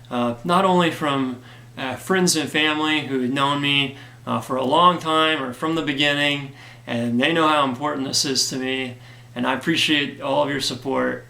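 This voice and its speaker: A man who created a comic gives an earnest, grateful update to his backers, hoarse from a cold and filling his talk with "uh". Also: a little high, bright, forceful tone, reverberant. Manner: earnest and grateful, many fillers. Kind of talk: casual direct-to-camera talk.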